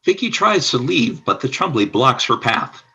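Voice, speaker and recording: A man's voice: mid-range voice, sing-song, normal speed, bright, forceful tone, breathy edge. A man reading scripted narration for a radio play, describing the action in the present tense.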